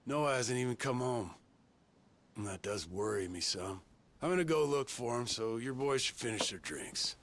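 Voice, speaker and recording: A man's voice: medium pitch, expressive, normal speed, fairly bright tone, slight background noise, lightly acted. An actor playing a weary middle-aged bar owner, a protective father who speaks in a guarded, curt way in a video game. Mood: evasive, worried. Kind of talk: video game cutscene acting. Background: faint game music.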